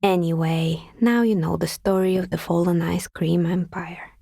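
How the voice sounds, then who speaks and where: An actress playing a wry, world-weary artisan dicemaker in a video game, speaking in a dry, understated, matter-of-fact way with a husky, breathy voice. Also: female voice, on the low side, steady tone, normal speed, dark tone, no room sound. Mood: deadpan. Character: wry, world-weary woman artisan who makes novelty dice. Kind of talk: video game character dialogue.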